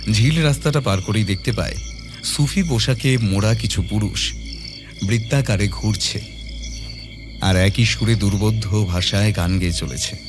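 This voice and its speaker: Man, low voice, dramatic scripted horror narration, music behind. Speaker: narrator of a horror audio story.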